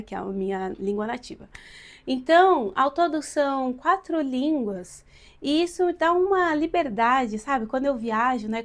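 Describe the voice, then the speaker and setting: Brief: late-twenties São Paulo woman, bright-pitched and chatty, encourages her viewers directly. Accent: São Paulo Brazilian Portuguese. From São Paulo, Brazil. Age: late 20s. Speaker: vlogger and Portuguese teacher. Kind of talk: casual advice vlog.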